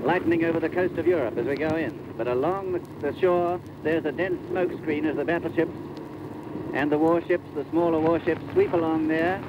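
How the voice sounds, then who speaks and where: A dull, noisy archive recording carries a middle-aged male war correspondent. He gives 1940s radio eyewitness commentary from a craft at sea in a fairly high voice. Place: aboard a landing craft at sea.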